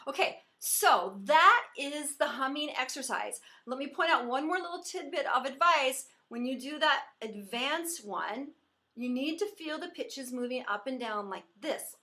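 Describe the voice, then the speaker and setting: A woman voice teacher giving an encouraging singing lesson, explaining pitch and resonance as she demonstrates. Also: pushed and bright.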